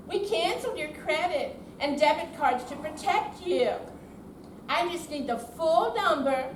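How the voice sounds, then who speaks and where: Brief: woman as glib female bank impostor, high voice, officious and soothing, readers theater, recorded from far off. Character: smooth, reassuring woman con artist posing as bank caller. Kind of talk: amateur readers theater stage reading. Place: indoor senior center performance room. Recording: distant echoey hall, faint noise.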